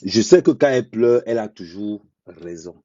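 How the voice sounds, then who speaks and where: A man speaking bluntly in an unscripted live monologue, repeating phrases for emphasis and talking slowly and deliberately. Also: on the low side, animated, warm tone, no room sound. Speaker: social media commentator. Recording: dull lo-fi dry sound.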